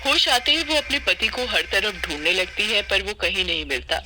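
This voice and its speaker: A man's voice: high voice, moderate pace, noisy background. A man narrating a cartoon story from a script.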